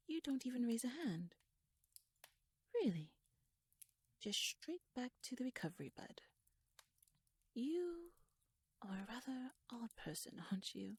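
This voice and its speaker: A woman playing a shrewd, teasing royal noblewoman in an ASMR audio roleplay, speaking softly in a wry, courtly, measured way that slips toward a whisper. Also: fairly high pitch, husky, no room sound, full character voice. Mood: cautious, curious.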